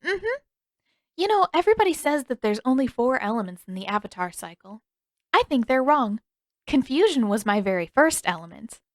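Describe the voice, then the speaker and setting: A woman's voice: sits high, very animated, moderate pace, no room sound, clean studio sound, voice pushed up. A woman voicing a perceptive teenage girl who talks in a candid, lilting, playful way. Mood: teasing.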